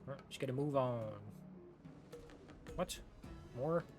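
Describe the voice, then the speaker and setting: A man's voice: mid-range voice, takes it slowly, music in the background. A man streaming a game with casual, blunt, slangy, joking reaction commentary.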